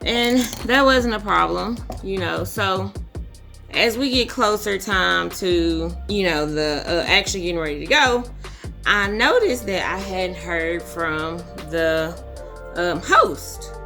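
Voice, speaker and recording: Hoarse low-voiced woman vlogger. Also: slow. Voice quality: husky. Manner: relaxed, chatty, says y'all and um often. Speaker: beauty vlogger. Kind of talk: casual storytelling chit chat. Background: music.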